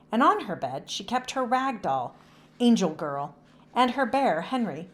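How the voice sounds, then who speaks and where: A woman reads a children's storybook aloud. Her pitch moves up and down in a lively way as she narrates, in a medium register.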